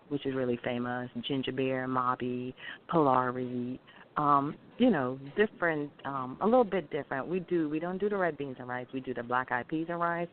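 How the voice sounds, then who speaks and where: A middle-aged restaurant owner from Guyana, answering interview questions in a friendly, chatty way. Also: medium pitch. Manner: friendly, explains dishes at length with fillers. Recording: tinny phone-like line with faint hiss.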